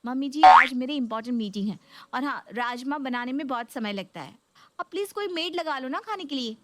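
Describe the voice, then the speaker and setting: A woman's voice: high-pitched, expressive, a little fast, heavy background noise. A woman voicing an exasperated, pleading young working daughter-in-law in a Hindi cartoon story. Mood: irritated.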